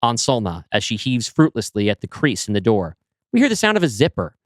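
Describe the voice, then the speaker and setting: A man reading a science fiction script aloud as narrator, in an even, descriptive manner. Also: mid-range voice, brisk, no echo, clean studio sound. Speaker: storyteller.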